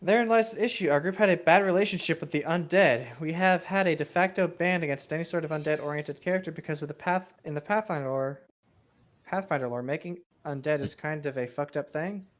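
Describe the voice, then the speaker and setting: A man's voice: fairly high pitch, brisk, lo-fi sound. A man co-hosting a gaming story show, reading aloud and commenting casually. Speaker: co-host reading and commenting on tabletop gaming stories.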